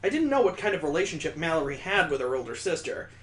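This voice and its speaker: Brooding young woman narrator for a visual novel, read by a man, intense, measured, obsessive yet restrained. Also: fairly high pitch, very animated, fairly bright tone. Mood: somber.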